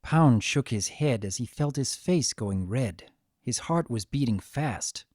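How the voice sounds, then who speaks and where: A man reading a scripted story as narrator, with a gentle, expressive dramatic delivery. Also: mid-range voice, measured pace, no room sound, studio quality.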